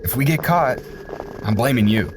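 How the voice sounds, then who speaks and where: A man's voice: mid-range voice, moderate pace, background noise, natural voice. An actor playing a nervous young man who tags along with his friend, teasing him and worrying about getting caught. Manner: teasing, laughs easily, worried. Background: music.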